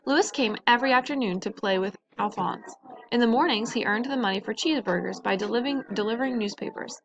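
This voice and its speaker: A woman's voice: on the high side, fast, lo-fi sound, crowd noise. A woman reading a children's story aloud in a narrating style. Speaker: storybook reader.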